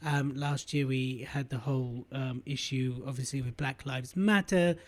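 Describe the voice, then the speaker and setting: A man's voice: mid-range voice, steady tone, normal speed. A man hosting a podcast interview, asking probing questions and summarizing what his guest says. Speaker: podcast host.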